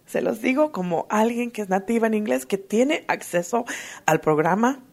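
A woman giving an emotional, earnest personal testimony at a recovery meeting, halting often to restart her sentences. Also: on the high side, animated, vocal fry, darkish tone. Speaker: twelve-step fellowship member sharing.

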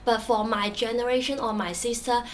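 A woman's voice: on the high side, fairly even intonation, moderate pace. A woman from Hong Kong, a native Cantonese speaker, answering interview questions in English with a Hong Kong accent, hesitant, with frequent fillers and restarts. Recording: distant mic with faint background noise.

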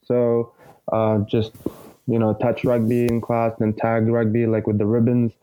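A man in his twenties from Egypt talks about his path into rugby in an easygoing podcast chat. His voice is mellow and warm in tone, and he laughs now and then, filling pauses with "uh" and "like." He sits at a middle pitch for a man. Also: clean tone, poor audio. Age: 20s. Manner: relaxed, laughs often, many fillers. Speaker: rugby player guest. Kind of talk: casual podcast interview.

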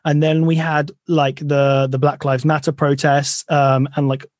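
A man's voice: on the high side, level tone, a little slow, darkish tone, dry recording, clean studio sound. A man in a pop punk band, answering interview questions in a loose, earnest way full of fillers.

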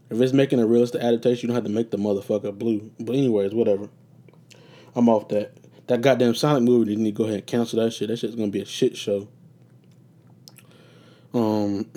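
A young man hosting a solo casual talk show, rambling with loose, profane chatter and many fillers, his voice getting raspy and sleepy. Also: medium pitch, mellow. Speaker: podcast host.

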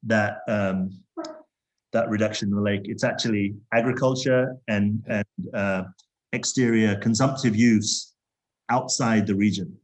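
A man from Zambia, a corporate sustainability executive, talking as an interview guest with a halting delivery full of restarts. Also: fairly low pitch, steady tone, poor audio. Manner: halting, many restarts and fillers.

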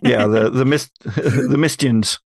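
A man's voice: medium pitch, takes it slowly, gravelly, a little mellow, dry recording, clean studio sound. A man chatting casually as a podcast host and game master, wry and given to chuckling. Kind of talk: casual chat.

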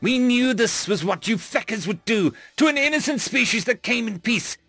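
A man's voice: very high voice, lively intonation, normal speed, a bit bright, breathy edge, dry recording, faint music behind, voice pushed up, full character voice. A man voicing a small, furry male alien, fiercely loyal and distraught, in pleading, indignant outbursts.

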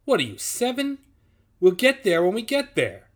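A man's voice: fairly high pitch, expressive, takes it slowly, voice pushed up. A man voicing a snappy, sarcastic railway chief in a comic audio story. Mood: snapping.

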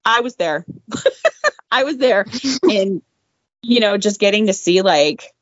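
A woman guest telling an animated story about her veterinary internship, laughing often and breaking into exclaimed asides, her voice a little worn. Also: medium pitch, takes it slowly, no room sound. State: losing her voice. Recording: dull, dry lo-fi audio.

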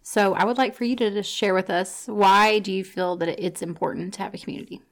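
A woman's voice: medium pitch, steady tone, a little fast. Earnest woman podcast host. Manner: earnest, thinks aloud with fillers. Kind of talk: conversational podcast talk.

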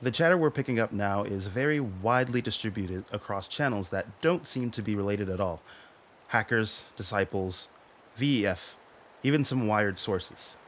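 An actor playing a dutiful military major reporting to a superior in a radio play, formal, clipped and serious. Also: male voice, lowish voice, moderate pace, slight background noise, natural voice. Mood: concerned.

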